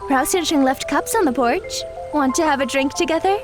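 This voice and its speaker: A dainty young woman martial artist voiced by a woman talks in a high, earnest voice. She is polite and formal, with pride in her skill. Audio drama music sits clearly behind her. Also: a little fast, close to an everyday voice. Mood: concerned.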